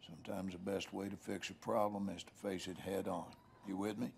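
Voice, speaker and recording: A man's voice: low voice, fairly even intonation, fairly quick, creaky, a little mellow, a little hiss or hum. A man playing a harsh, bitter, domineering rural American father in a video game, with a folksy Southern drawl. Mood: coaxing, grim. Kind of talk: video game drama acting. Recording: game music behind the voice.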